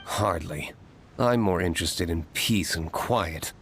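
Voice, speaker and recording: A man playing an aloof, brooding young gentleman in an anime-style video game, deadpan and curt. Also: low-pitched, slow pace, background noise. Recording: studio voice under game music.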